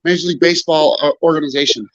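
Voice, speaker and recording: A man chatting as a guest on a video call, halting and repeating himself with lots of fillers, a little stuffy. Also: on the high side, steady tone, fairly bright tone, no echo. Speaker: guest on stream. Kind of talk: casual chat. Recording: muffled lo-fi call audio.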